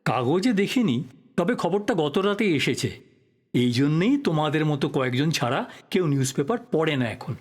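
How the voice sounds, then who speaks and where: An actor playing an earnest, persistent investigative journalist in an audio drama, polite in manner. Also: male voice, fairly high pitch, lively intonation, normal speed. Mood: subdued.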